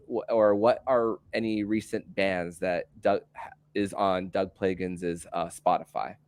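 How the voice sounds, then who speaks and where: Low-voiced man in his thirties hosting. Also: fairly even intonation, a little mellow. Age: 30s. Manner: halting, repeats words while asking questions. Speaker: podcast host. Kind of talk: casual podcast chat.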